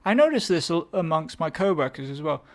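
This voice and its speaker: A young man with an English accent talks loosely to his listeners between tracks. His voice sits a little on the high side, and he keeps correcting himself mid-sentence with dry, self-mocking asides. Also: sing-song, brisk. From England. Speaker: podcast host. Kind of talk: casual solo chat introducing songs.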